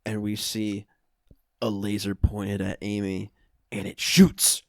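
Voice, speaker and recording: A man's voice: on the low side, completely flat delivery, slow, gravelly, darkish tone, no room sound, clean studio sound. A man improvising scene narration for a comedy podcast, casual and feeling his way through the story.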